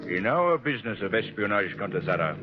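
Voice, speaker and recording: Suave menacing spy boss, low voice, 1930s radio, muffled recording. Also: male voice, big pitch swings, a little fast, over music. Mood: smug, calm. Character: menacing, suave male foreign spymaster. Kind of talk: 1930s radio drama acting.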